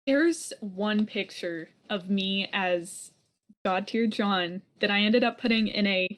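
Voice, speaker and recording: A woman's voice: medium pitch, takes it slowly. A woman co-hosting a livestream in casual chat. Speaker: livestream co-host.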